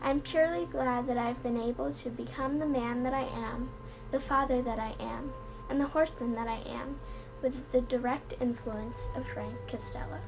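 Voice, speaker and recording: A woman reading a written tribute aloud as scripted narration, earnest and measured. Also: a little high, steady tone, creaky, noisy background. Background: background music.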